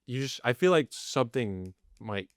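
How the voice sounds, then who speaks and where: A man's voice: medium pitch, expressive, normal speed, clean tone, no room sound, studio quality. A man in his late twenties co-hosting a podcast, chatting casually and hesitantly searching for his words. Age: 20s. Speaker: podcast co-host. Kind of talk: casual chat.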